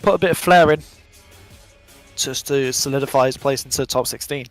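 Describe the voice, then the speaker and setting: A man's voice: mid-range voice, brisk. A man with an English accent giving live, chatty commentary on virtual drift racing, occasionally stumbling over his words. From England. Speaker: esports commentator. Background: music.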